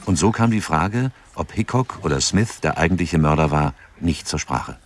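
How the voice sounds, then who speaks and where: A man's voice: very deep voice, expressive, moderate pace. An actor as the sober male narrator of a true-crime radio drama, factual and restrained.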